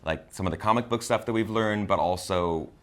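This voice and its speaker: A man's voice: low voice, fairly quick, fairly bright tone. A man co-hosting a casual film discussion, enthusiastic and slangy in the way he talks. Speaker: co-host of a movie review show.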